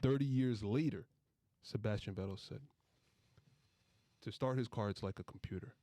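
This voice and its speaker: A man's voice: lowish voice, moderate pace, dark tone, dry recording. Man hosting a casual podcast, dry sound. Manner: playful, bantering. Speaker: podcast host. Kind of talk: casual sports podcast chat with friends.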